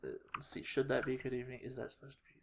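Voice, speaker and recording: Guy mumbling thru a casual editing tutorial, gravelly fry, noisy home recording w keyboard clicks. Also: medium pitch, little pitch movement. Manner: halting, muttering to himself, self-deprecating. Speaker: video creator demonstrating editing. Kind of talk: casual screen-recorded tutorial, thinking aloud. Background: typing and mouse clicks.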